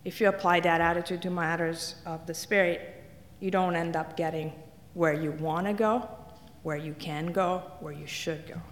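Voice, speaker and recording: A woman's voice: on the low side, steady tone, normal speed. A woman from Italy, telling wry, self-deprecating stories as a speaker in a church. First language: Italian. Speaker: guest speaker. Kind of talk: humorous personal testimony talk. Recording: echoey hall reverb.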